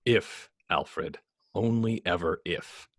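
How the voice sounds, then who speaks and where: A man playing a grim, commanding superhero vigilante in a script table read, speaking tersely and gruffly with a husky voice. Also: deep voice, slow, fairly bright tone, dry recording, exaggerated voice. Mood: grim, brooding.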